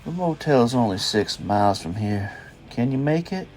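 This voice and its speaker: Casting: male actor as middle-aged dad on the road, weary and terse, mellow timbre, widely swinging pitch, audio drama. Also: animated, moderate pace, soft background music, close to an everyday voice. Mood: concerned.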